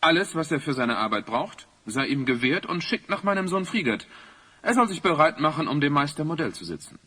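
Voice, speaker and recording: A man's voice: a little high, sing-song, fairly quick, sharp tone, breathy edge, exaggerated voice. A man playing a commanding, thrifty king of an icy realm in a fantasy audio drama, regal and declamatory.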